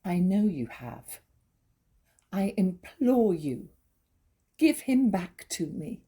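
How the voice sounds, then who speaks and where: An actress playing a naive, jealous young wife, pleading and accusing in stagey dramatic dialogue. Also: female voice, fairly low pitch, big pitch swings, slow, mellow, breathy edge, exaggerated voice. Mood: pleading, earnest. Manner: imploring, emotional, accusatory.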